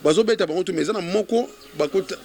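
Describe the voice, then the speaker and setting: A man with a Congolese accent chatting casually as an interview guest in French and Lingala, halting and repeating words. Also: high voice, gravelly, a crowd in the background. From Kongo Central, DR Congo.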